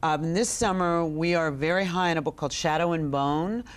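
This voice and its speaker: A middle-aged woman giving an expert interview as a guest, speaking conversationally and haltingly as she thinks aloud. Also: sits low, clean tone. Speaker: books editor, interview guest.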